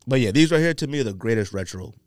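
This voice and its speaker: Man, podcast host, lively intonation, slangy, opinionated, casual chat, dry close recording. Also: medium pitch, rapid-fire, dry recording. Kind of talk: casual sneaker banter.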